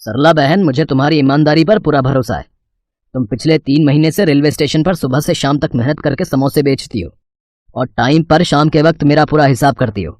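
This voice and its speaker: A man plays a kindly male sweet-shop owner in a cartoon story. He is fair and measured, with a businesslike way of talking and a dry, close sound. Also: fairly high pitch, darkish tone, dry recording, close to an everyday voice.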